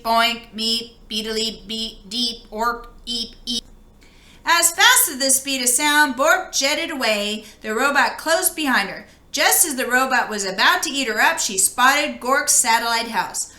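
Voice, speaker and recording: A woman reading a children's storybook aloud in an expressive narrating style. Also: high-pitched, normal speed, few pauses, echoey room. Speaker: storybook reader.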